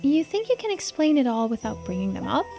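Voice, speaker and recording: A woman puts on a cautious, sensible companion from a mystery game. The voice is high and mellow, with a hesitant, questioning lilt over faint music. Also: very animated, fast. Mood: doubtful.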